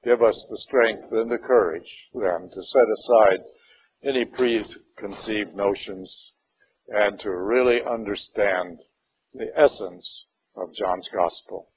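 A man teaches a Bible lesson in a church meeting room, sounding a little raspy from a cold. He talks slowly and conversationally, with a lot of "uh" and "all right." The recording is dull and lo-fi, with a faint hum underneath. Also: on the low side. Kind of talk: opening prayer then informal Bible lesson.

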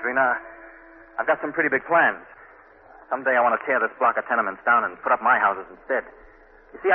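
Actor as a fast-talking idealistic young man, old radio. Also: mid-range voice, narrow, tinny audio, natural voice. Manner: impassioned, speechifying about hopes and hardship. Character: earnest, idealistic poor young male architect from the tenements. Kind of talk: 1940s radio drama acting. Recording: thin, noisy 1940s radio recording.

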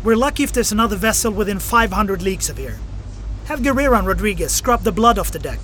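A man playing a brisk, stern ship's captain in a radio drama, giving clipped orders over music. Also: high-pitched, lively intonation, fairly bright tone. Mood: firm.

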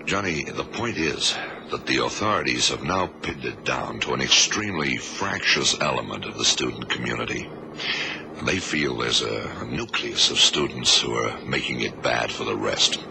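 An actor playing a cool, authoritative government agent in a radio drama, explaining things in a measured, commanding way. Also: male voice, very deep voice, expressive, vocal fry, exaggerated voice. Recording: noisy old radio recording.

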